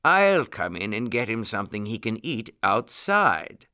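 A cheery old-fashioned bunny gentleman from a storybook, voiced by a man. He is eager and genial, with a bright tone and swooping, animated pitch. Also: measured pace, clean tone, exaggerated voice. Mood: helpful. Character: cheery, helpful gentleman rabbit uncle.

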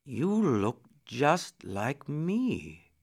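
A man's voice: mid-range voice, big pitch swings, a little slow, creaky, a bit bright, exaggerated voice. A man voicing a proud, curious and imperious boy prince in a storybook. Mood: excited. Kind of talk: storybook character reading.

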